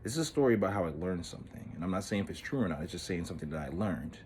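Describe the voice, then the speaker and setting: A very deep-voiced man as an earnest lovestruck boy. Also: lively intonation, racing, a little hiss or hum. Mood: hurt, bitter. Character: earnest, lovestruck thirteen-year-old boy confessing wistfully. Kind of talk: dramatic monologue, intimate storytelling.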